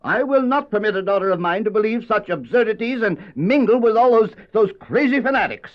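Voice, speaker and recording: A man's voice: high voice, expressive, fairly quick. An actor playing a bossy, blustering middle-aged father who stammers when flustered, in an old-fashioned radio drama style. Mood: angry, forbidding. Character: blustering, domineering father scornful of the ark believers. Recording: dull lo-fi cassette radio drama.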